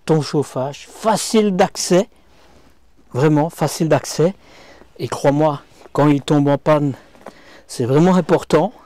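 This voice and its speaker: A middle-aged man walks through a camper van interior, chatting directly to his viewer as he points out every detail. His voice sits a little high but mellow and soft-edged. He's picked up on a clip-on mic, close and dry, with no room ring. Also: takes it slowly, long pauses, dry recording. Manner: chatty, addresses viewer directly, frequent hop-hop and hein. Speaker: motorhome reviewer. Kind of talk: casual walkthrough vlog. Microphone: small clip-on mic.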